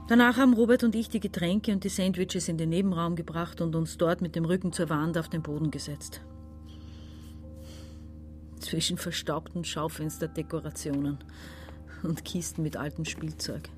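A woman's voice: on the low side, darkish tone, lightly acted. An actress playing a domineering, desperate woman of about forty in a radio crime drama, confiding one moment and bossy the next. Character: domineering, desperate sister around forty, plotting and escapist. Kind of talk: German radio crime drama acting, narration and dialogue.

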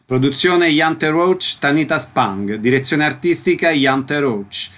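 A male radio host with a slightly high voice presents scripted material. He speaks slowly, item by item. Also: fairly bright tone.

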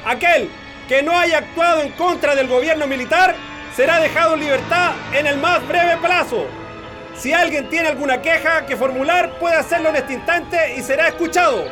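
High-pitched, commanding military officer. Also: male voice, moderate pace. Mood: commanding, formal. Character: stern military officer addressing prisoners. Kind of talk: radio drama acting, formal announcement. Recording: loud music under the voice. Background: loud music.

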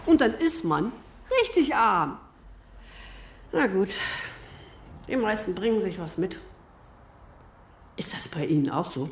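A woman playing a plain-spoken, lively office colleague in a naturalistic radio drama. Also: mid-range voice, slow, pauses often, slight background noise. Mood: talkative.

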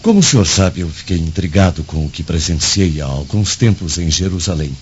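An actor in a radio drama playing an earnest Roman guardian who reports in a measured, declamatory way. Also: male voice, deep voice, expressive, darkish tone. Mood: earnest, intrigued. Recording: dull lo-fi audio with faint noise.

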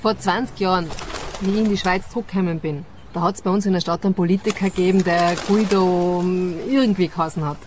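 An actress playing a grumpy, indifferent grown daughter in a radio play, curt and sardonic. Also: female voice, on the low side, fairly even intonation, quick pace, darkish tone, natural voice. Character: grumpy, indifferent grown daughter, night-shift arcade attendant. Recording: faint outdoor park noise.

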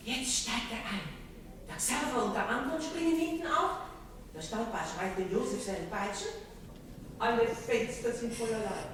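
An actress playing an excited, gossipy duenna who calls out a breathless running report in a 1960s radio drama. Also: female voice, fairly high pitch, a little slow. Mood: eager reporting. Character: excited, gossipy chaperone duenna calling from a window. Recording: echoey, distant, hissy 1960s radio recording.

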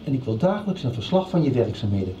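A distant, echoey recording of a man acting as a commanding male boss in a radio soap. He is curt and controlling, with a mellow tone and a slightly dull, noisy sound. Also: medium pitch, very animated, quick pace, natural voice. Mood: stern. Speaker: actor in a radio serial.

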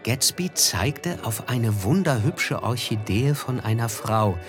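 Radio drama narrator, reflective observer: mid-pitched, measured literary storytelling with music behind. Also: male voice, lively intonation. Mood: reflective, wry. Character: reflective, observant man telling his memories.

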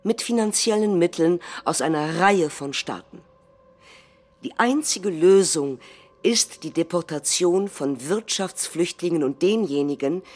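A woman's voice: fairly low pitch, a little mellow. A woman playing a blunt, alarmist anti-immigration president, reading a political speech on stage with emphatic delivery.

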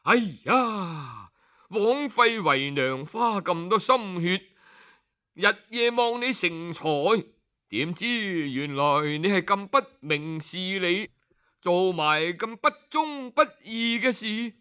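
A man's voice: high voice, animated, slow, sharp tone, clear, resonant tone, full character voice. A male storyteller voicing a stern mother who scolds her son indignantly in a folk tale. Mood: reproachful. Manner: reproachful, indignant.

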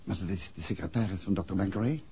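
An actor playing a composed, inquisitive gentleman detective in a Dutch radio play from the 1960s, with a Netherlands accent and measured, courteous speech. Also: low-pitched, husky, exaggerated voice. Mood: probing. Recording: dull, muffled 1960s radio recording.

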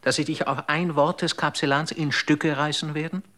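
An actor in a radio drama playing a devoted, worried companion, speaking gently and earnestly, often in a hushed voice. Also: male voice, on the high side, fairly even intonation, normal speed.

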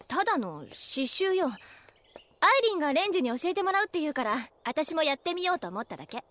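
An actress playing a prickly, easily flustered young wife who talks snappily in an audio drama. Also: female voice, high voice, very animated, brisk, fairly bright tone. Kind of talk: Japanese audio drama acting.

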